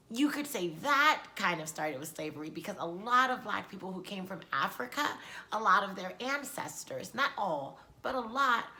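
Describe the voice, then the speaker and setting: A woman's voice: medium pitch, pushed and bright, slight background noise. A woman hosting a read-aloud lesson for children as a teacher, explaining things simply.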